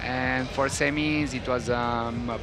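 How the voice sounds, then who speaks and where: A man being casually interviewed as a route setter, speaking halting non-native English and searching for words. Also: medium pitch, fairly even intonation. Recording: music playing behind the voice.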